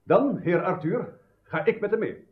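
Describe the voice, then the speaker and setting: A loyal, insistent knight in a radio play. His voice is fairly high and very lively in pitch, with echo around it. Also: measured pace. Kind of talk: radio drama acting. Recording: echoey, muffled old radio recording.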